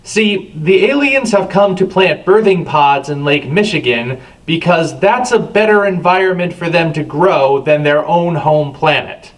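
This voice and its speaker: A man's voice: on the high side, animated, a little slow, few pauses. A man hosting a review with scripted commentary and a wry, sardonic manner. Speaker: reviewer, show host. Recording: distant from the microphone.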